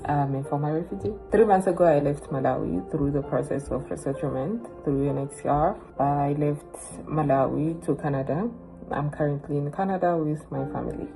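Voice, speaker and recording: A woman's voice: low-pitched, normal speed, creaky, over music, poor audio. A woman, a former refugee, introducing herself in a plain, direct way. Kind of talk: recorded personal introduction.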